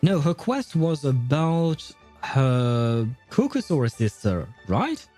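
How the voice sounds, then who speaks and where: A man streams a video game and chats with his viewers. His voice is mellow and mid-pitched, and he rambles hesitantly. Faint music plays behind him. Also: sing-song, slow. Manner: rambling, hesitant, chuckles often. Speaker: livestreamer playing a game. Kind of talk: casual chat with viewers while gaming.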